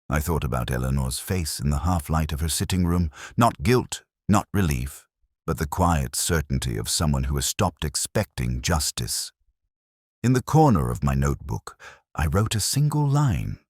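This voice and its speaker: A man plays a weary, cynical police inspector telling his own story in a detective audio drama. The voice is extremely low and swings widely in pitch as he broods over each phrase with measured care. The sound is dry and close, with hardly any room to it. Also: no echo, full character voice. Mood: brooding, reflective. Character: weary, cynical male English police inspector, reflective and literary. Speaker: narrator. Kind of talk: scripted first-person detective drama narration.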